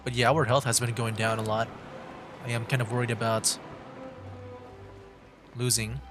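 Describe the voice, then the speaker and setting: A male game streamer with a medium-pitched voice talks casually through his play. He thinks aloud in an easygoing manner, with clearly audible game music underneath. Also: level tone, moderate pace. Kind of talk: casual livestream gameplay commentary.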